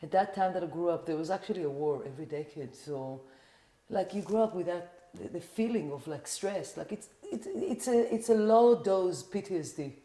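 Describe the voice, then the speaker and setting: A middle-aged Israeli woman talks loosely as a podcast guest, with a low, mellow voice. Her sentences wander, full of "like" and "you know". The room around her sounds echoey. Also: animated, moderate pace. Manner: rambling, with many "like" and "you know" fillers. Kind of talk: casual interview chat.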